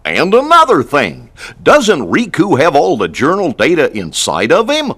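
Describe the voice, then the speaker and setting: An actor voicing a loyal, anxious animal sidekick in a cartoon video game, with a husky voice and fretful manner. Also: medium pitch, huge pitch swings, unhurried, fairly bright tone, heavily acted. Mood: insistent.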